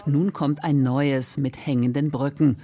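A woman's voice: rumbling low, steady tone, unhurried, dark tone. Female reporter voice for scripted radio features, narrating reportage in a medium register.

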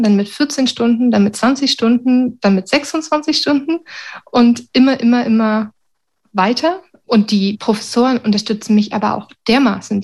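A middle-aged woman from Berlin talking candidly and reflectively about personal experience in an interview. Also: mid-range voice. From Berlin, Germany. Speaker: interviewee, university secretary with a doctorate.

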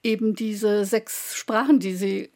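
A woman's voice: mid-range voice, steady tone, takes it slowly, a little mellow. A middle-aged woman hosting a radio culture interview, asking long, elaborate questions.

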